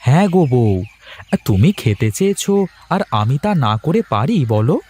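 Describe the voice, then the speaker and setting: A man's voice: mid-range voice, sing-song, normal speed, darkish tone, slightly rough. A man voicing a bossy, grumbling but doting village husband in a cartoon. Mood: teasing. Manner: bossy, emphatic. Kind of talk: cartoon voice acting.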